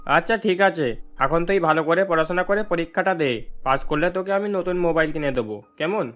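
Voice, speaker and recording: A man's voice: on the high side, brisk, fairly bright tone, faint music behind, close to an everyday voice. A man voicing an indulgent, agreeable middle-aged father in a cartoon, placating and reassuring. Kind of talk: Bengali cartoon voice acting.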